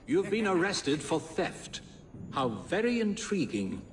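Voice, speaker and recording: A man's voice: high-pitched, very animated, slow, distant mic, a little hiss or hum, full character voice. A man playing a wry, condescending rescuer in a Victorian video game. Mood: amused, condescending. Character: amused, condescending male rescuer in Victorian drama.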